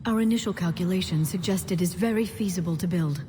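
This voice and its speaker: An actress playing a thoughtful female scientist in a game, explaining things in a measured, earnest way. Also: on the low side, warm tone, natural voice. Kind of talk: dramatic game dialogue. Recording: reverberant chamber, faint noise.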